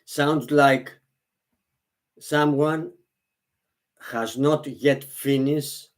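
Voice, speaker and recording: A man whose first language is Greek, speaking English slowly and earnestly to the camera. He often halts, repeats himself and restarts his phrases. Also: mid-range voice, steady tone. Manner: halting, repeats and restarts phrases, non-native grammar. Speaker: vlogger. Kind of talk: slow, earnest monologue to camera.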